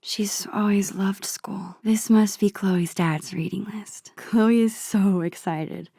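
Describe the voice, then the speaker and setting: Soft, breathy teenage girl. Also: medium pitch, dry recording, studio quality. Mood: fond. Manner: gentle, musing, talking to herself. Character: teenage girl, shy, wistful, nerdy and sentimental. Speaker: video game voice actress. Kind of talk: introspective game character monologue.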